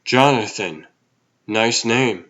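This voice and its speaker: A man's voice: mid-range voice, slow, fairly bright tone, dull audio. A man playing a mild, awkward, apologetic reporter with a hesitant manner in a comic book dub.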